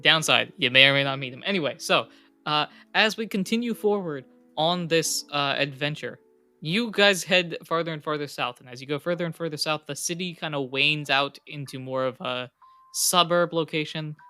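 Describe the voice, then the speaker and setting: A man's voice: fairly high pitch, normal speed, sharp tone, clean tone, faint music behind. A young man narrating a tabletop game as game master, in a casual style with many fillers.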